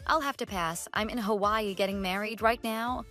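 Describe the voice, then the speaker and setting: A woman's voice: medium pitch, moderate pace, fairly bright tone, soft background music, lightly acted. An actress playing an exasperated young female coworker, blunt, sarcastic and dismissive, in a scripted online drama. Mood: cool, firm.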